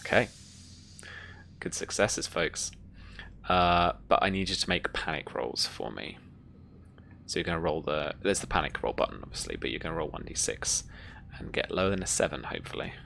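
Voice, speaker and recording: A woman's voice: very deep voice, very animated, moderate pace, gravelly, fairly bright tone, a little hiss or hum. A woman running a tabletop roleplaying game as game master, informal and encouraging toward her players.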